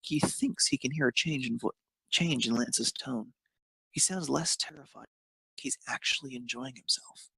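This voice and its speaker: A man's voice: medium pitch, moderate pace, vocal fry, sharp tone, breathy edge, no echo, low-quality recording. A man narrating a piece of fan fiction, reading it aloud.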